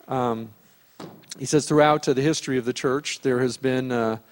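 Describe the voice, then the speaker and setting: A man introducing a guest speaker at a church gathering, halting often with fillers. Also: mid-range voice, steady tone.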